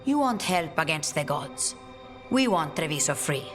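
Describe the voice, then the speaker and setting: A woman's voice: low voice, sing-song, normal speed, soft background music. A woman voicing a fierce, testy female assassin in a video game, speaking in a curt, menacing way. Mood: terse, resolute.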